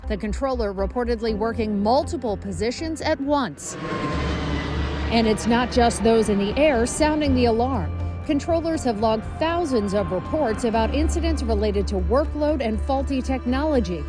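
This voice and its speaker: A woman's voice: a little high, animated, moderate pace, over music. A woman reporter delivering scripted narration for an investigative television news report.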